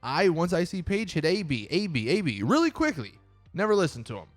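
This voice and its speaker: Young guy doing gaming commentary, kinda high voice, super up and down, annoyed and emphatic, music behind. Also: sing-song, a little fast, no room sound. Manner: exasperated, emphatic complaining. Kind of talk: casual opinion commentary over gameplay. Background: background music.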